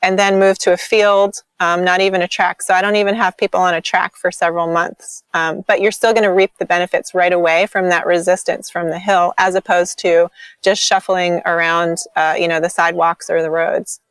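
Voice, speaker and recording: A woman's voice: lowish voice, level tone, a little fast, a bit bright, clear, resonant tone, no room sound. A woman in her forties, a podcast guest and sprint coach, talking in an enthusiastic casual way with frequent ums and likes over a video call. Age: 40s. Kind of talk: casual interview chat.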